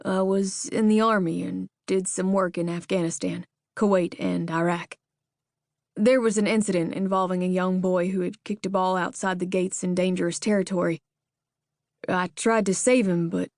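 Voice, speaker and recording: A woman narrator puts on a tall, shaken ex-soldier cowboy from the American South, his drawl slow and halting. The voice is gravelly with fry, recorded close and dry with no room sound. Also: on the low side, fairly even intonation, a little mellow, dry recording. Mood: somber. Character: tall dazed male ex-army cowboy, stammering. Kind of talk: audiobook character voice.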